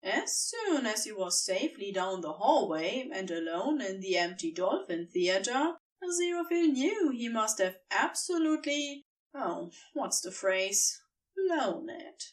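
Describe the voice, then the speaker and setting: A woman narrating scripted fiction as an audiobook reader, with expressive storytelling and deliberate phrasing. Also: mid-range voice, far from the mic.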